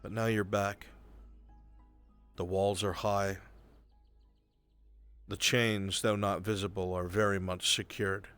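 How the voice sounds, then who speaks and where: A man with a fairly low voice narrates a roleplay game as its game master. His delivery is dramatic and brooding, with deliberate pauses. Music plays softly behind him. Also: little pitch movement, clean tone. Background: background music.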